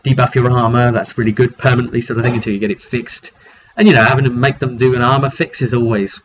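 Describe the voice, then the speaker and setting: A man casually recounting a tabletop roleplay session as its game master, in slangy, animated storytelling. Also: medium pitch. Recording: dull lo-fi home recording, faint background noise.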